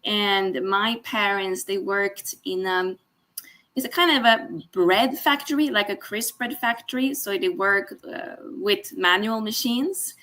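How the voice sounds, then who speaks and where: A woman's voice: medium pitch, a little slow, bright, forceful tone. A woman, a guest on a video call, speaking hesitantly with many fillers and frequent laughs. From Sweden. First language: Swedish. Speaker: guest, sales director. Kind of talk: casual interview.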